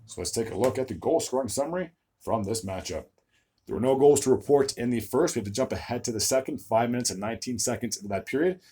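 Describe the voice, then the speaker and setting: A young man hosting a solo hockey recap video, his voice a bit raspy from recording several videos in a row, stumbling over player names and filling gaps with "uh". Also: medium pitch, lively intonation, fast talker, warm tone. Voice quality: slightly raspy, tired voice.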